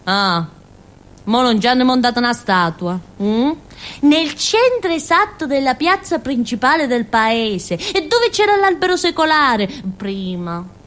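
An actress playing a naive, chatty village fool in a theatrical monologue, with a southern Italian accent and a rambling, giggly way of talking. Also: female voice, high-pitched, very animated, a little slow, a bit bright, exaggerated voice. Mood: wondering, earnest. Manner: rambling, giggly, with frequent interjections and tag questions.

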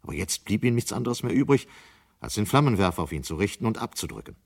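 An actor as a sober storyteller, giving scripted narration in a German radio play. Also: male voice, fairly low pitch, big pitch swings, fast talker, gravelly, husky, heavily acted. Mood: grave. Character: sober male storyteller of a dystopian tale.

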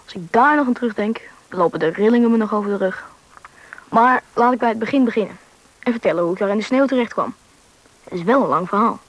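A young performer playing a lively thirteen-year-old Russian boy who narrates and acts in a radio drama, with a slightly husky boyish voice. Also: medium pitch, fast talker, close to an everyday voice, poor audio. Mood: reflective storytelling. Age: young teen. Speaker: main character and narrator of a radio play. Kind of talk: radio drama storytelling and dialogue.

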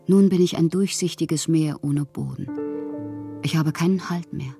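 An actress playing a proud, lovelorn woman poet in a literary radio drama monologue, theatrical and intimate with a husky, breathy voice. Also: deep voice, measured pace, music in the background. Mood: pleading, melancholy. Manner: theatrical, poetic, intimate, at times whispering. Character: wounded, proud, lovelorn woman poet styling herself a prince.

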